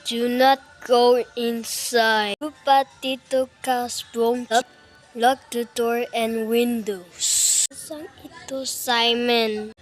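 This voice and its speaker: A woman's voice: a little high, level tone, takes it slowly, soft background music. A woman reading a scripted emergency broadcast alert in short, stilted, repetitive phrases.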